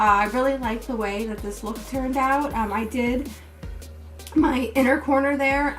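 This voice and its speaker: A woman with a slightly raised pitch and a little hoarseness talks casually through a makeup look, step by step and full of fillers. She sits back from the microphone, and clear music plays behind her. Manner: relaxed, step-by-step, with many fillers. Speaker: beauty vlogger. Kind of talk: casual makeup tutorial and review. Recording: distant mic with music playing behind.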